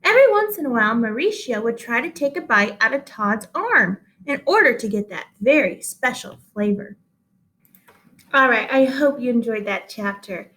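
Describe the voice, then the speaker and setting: High voiced lady doing an expressive kids story read aloud, teacher style, deliberate pauses. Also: rough recording. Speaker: teacher reading aloud to pupils.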